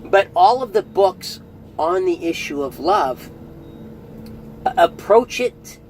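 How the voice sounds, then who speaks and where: Earnest middle-aged man, higher voice. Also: big pitch swings, slow, a little mellow, faint music behind, poor audio. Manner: earnest and chatty, restarts phrases. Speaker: audiobook reviewer. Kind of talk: casual spoken review to camera.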